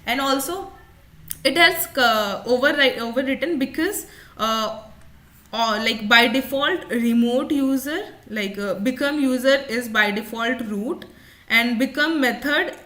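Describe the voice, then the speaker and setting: A woman instructor explaining a software demonstration step by step, often repeating her points as she goes. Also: a little high, unhurried.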